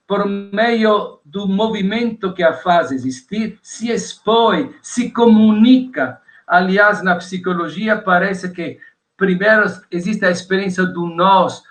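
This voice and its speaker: A man from Italy with an Italian accent, a guest professor of theology, explaining ideas on a podcast in a measured way, listing points one by one. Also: high voice, pushed and bright.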